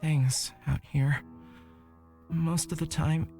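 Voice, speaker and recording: A woman voicing a serious, guarded young man who lives in the woods, speaking gravely and haltingly for a visual novel. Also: deep voice, fairly even intonation, warm tone, soft background music, voice pushed down.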